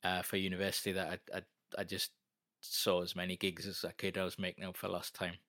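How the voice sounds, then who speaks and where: Man, 40s, low bright voice, rambling self-deprecating guest. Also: monotone, quick pace, dry recording, clean studio sound. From North East England. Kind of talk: casual interview chat.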